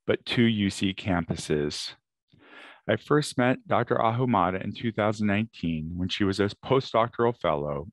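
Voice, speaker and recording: Brief: middle-aged male professor, very deep voice, dry close sound, formal presenter. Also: no echo. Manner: formal, measured delivery. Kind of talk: prepared award presentation speech.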